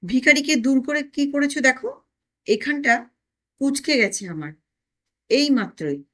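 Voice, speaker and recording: A woman's voice: high-pitched, lively intonation, unhurried, bright, forceful tone, full character voice. A woman voicing a tiny, deformed, ageless man in an audio story, scolding and aggrieved in an emphatic way. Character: tiny deformed ageless man, accusing, indignant double of narrator.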